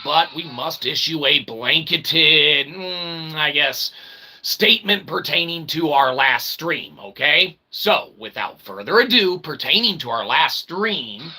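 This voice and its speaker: A man's voice: fairly high pitch, animated, takes it slowly, pushed and bright, slight background noise. A man hosting a rambling comedic livestream monologue like a mock-grand showman, often tagging phrases with "okay". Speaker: show host.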